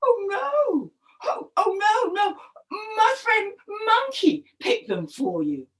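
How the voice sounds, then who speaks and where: A soft-hearted, fretful crocodile husband from a children's tale, put on by a woman storyteller. The voice is high and very animated, hesitating and repeating itself as it pleads. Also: takes it slowly, full character voice. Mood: anxious, defensive.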